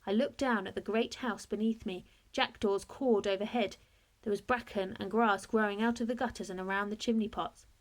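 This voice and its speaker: A woman reading a children's story aloud in expressive narration, like a teacher to a class. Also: medium pitch, moderate pace.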